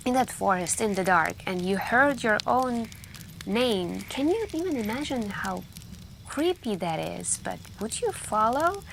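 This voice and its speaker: A soft-spoken woman telling scary stories in an ASMR style, hushed and intimate with pauses and drifts into whispering. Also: mid-range voice, gravelly. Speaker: ASMR roleplay performer playing a friend telling campfire stories. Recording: steady background ambience noise. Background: crackling campfire and night ambience.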